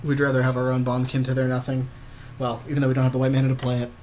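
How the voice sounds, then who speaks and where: A man's voice: medium pitch, monotone, racing, very noisy. A man giving informal, conversational commentary on a card game as a podcast co-host.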